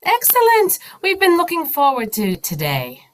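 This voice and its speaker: A woman acts a bubbly, sociable wife in an audio play. She gushes perkily, with a very lively rise and fall in pitch. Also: normal speed, heavy background noise, exaggerated voice. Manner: gushing, perky. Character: May, bubbly sociable married lady club member.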